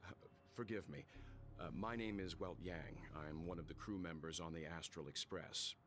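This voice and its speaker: A man playing a courteous, formal middle-aged gentleman in a video game, speaking in a measured, grave way. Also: sits low, a little hiss or hum. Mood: grave, polite. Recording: music behind the voice.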